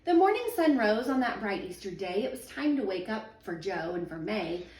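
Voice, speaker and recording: A woman, a teacher in her thirties, reading a children's picture book aloud at home in a sing-song, expressive way. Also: medium pitch, moderate pace. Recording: echoey room, voice a little far from the mic.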